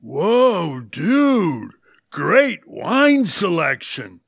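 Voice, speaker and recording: Man playing a high-voiced goofy party dude. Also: huge pitch swings, extremely slow, creaky, no room sound, heavily acted. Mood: breezy, cheerful. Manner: slangy, goofy surfer-bro way of talking. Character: cheerful dim laid-back party dude caterer, young man. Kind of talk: audio comedy acting.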